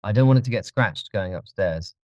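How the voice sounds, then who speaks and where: A man voicing a wealthy young gentleman who gives orders politely in an audiobook story, with slightly dull sound. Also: fairly low pitch, lively intonation, a little fast, no room sound, close to an everyday voice. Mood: polite, commanding. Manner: courteous, genteel, giving orders politely.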